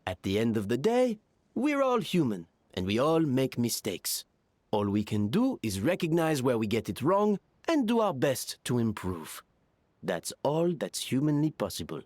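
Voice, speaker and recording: A voice actor playing a kind kitchen staffer who speaks calmly and encouragingly. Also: mid-range voice, moderate pace, no room sound. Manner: calm, encouraging. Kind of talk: video game voice acting.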